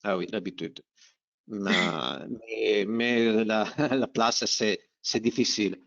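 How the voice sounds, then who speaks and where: A man with an Italian accent, a guest doctor answering informally and haltingly, searching for words as a non-native speaker. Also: fairly low pitch, gravelly, a bit bright. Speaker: doctor, guest webinar presenter. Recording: dull, band-limited webinar audio.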